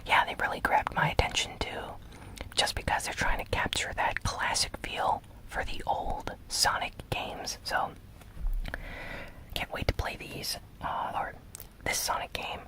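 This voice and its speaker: Casting: ASMR news host, fully whispered breathy delivery, soft and unhurried. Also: rough recording. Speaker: host of gaming news show.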